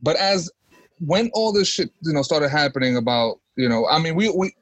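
A man's voice: on the high side, expressive, normal speed, fairly bright tone, dry recording. A man in a casual group discussion, talking emphatically in slangy street talk with swearing. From grew up in a US inner-city neighborhood, Dominican background. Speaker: panelist.

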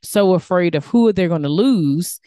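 A woman's voice: fairly low pitch, animated, a little slow, mellow, dry recording, studio quality. A woman hosting a podcast who keeps affirming her guest and chats in a conversational way. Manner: affirming, lots of backchannel agreement. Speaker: podcast host. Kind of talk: conversational interviewing.

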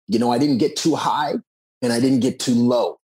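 A man's voice: mid-range voice, normal speed, a little mellow, husky. A man, talking reflectively and earnestly as a musician in a conversational interview. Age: 40s. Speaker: musician and author being interviewed.

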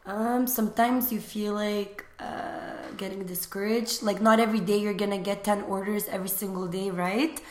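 A woman's voice: mid-range voice, normal speed, few pauses. A young woman from Lithuania, chatting casually as an interview guest about her small business, with lots of fillers. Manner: relaxed, chatty, many fillers. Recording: echoey room.

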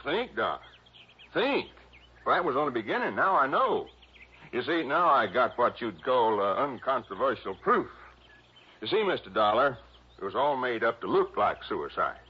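A muffled old radio recording of a cocksure small-town police chief in his fifties. The voice is husky and swings about in pitch, with folksy, self-satisfied phrasing. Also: sing-song, normal speed. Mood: smug, folksy. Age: 50s. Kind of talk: 1960s radio drama acting.

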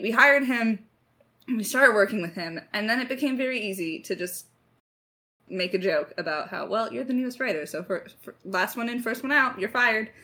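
A woman's voice: medium pitch, bright, forceful tone. A woman co-hosting a podcast Q&A, talking in a chatty, informal, joking way. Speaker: podcast co-host and audio drama creator. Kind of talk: casual Q&A chat.

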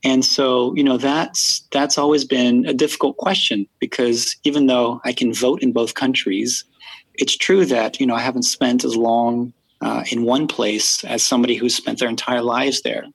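A man from France, a podcast guest, speaking thoughtfully in a casual interview, with many pauses and fillers. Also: mid-range voice, flat delivery, vocal fry, husky, dry recording, low-quality recording.

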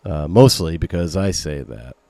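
A man's voice: extremely low pitch, expressive, moderate pace, creaky, dark tone, no room sound. A middle-aged man with a Maine accent hosting a podcast, chatting loosely and rambling as he reacts to listener questions. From Maine, USA. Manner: chatty, rambling, reads listener messages aloud. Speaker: podcast host. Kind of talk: casual solo podcast talk.

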